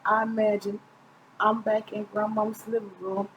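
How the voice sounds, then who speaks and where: A woman's voice: medium pitch, level tone, normal speed, dull audio, noisy background. A middle-aged woman reads a children's picture book aloud informally, stumbling now and then and correcting herself. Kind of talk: storybook read-aloud narration. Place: small indoor room, seated close to the camera.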